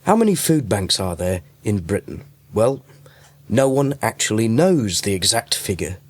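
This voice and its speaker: A man's voice: on the low side, sing-song, a little slow. A man reading scripted narration as a reporter for a radio podcast.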